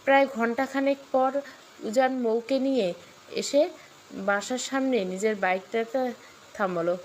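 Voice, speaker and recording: A woman's voice: a little high, measured pace, a little hiss or hum, low-quality recording. A woman reading a scripted story with dramatic delivery. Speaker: story narrator.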